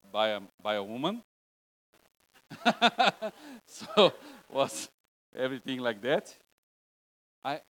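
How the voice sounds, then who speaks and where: A male preacher from Brazil speaks with a Brazilian Portuguese accent, delivering a casual church sermon. His English is broken and halting, and he keeps the tone light with jokes at his own expense. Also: on the low side, fairly bright tone, poor audio. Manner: joking, self-deprecating, broken English. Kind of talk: informal sermon.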